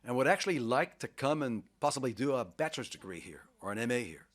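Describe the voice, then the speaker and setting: A man's voice: mid-range voice, lively intonation, fast talker. A middle-aged man speaks with eager enthusiasm in a radio interview. He talks at length, picks up sports metaphors and sometimes restarts a word mid-sentence. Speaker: university vice president, interviewee.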